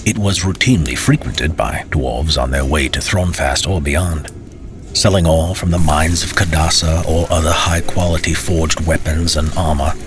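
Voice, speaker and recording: A man's voice: extremely low pitch, normal speed. A man narrating a fantasy tale as a storyteller, with dramatic, theatrical and courtly phrasing.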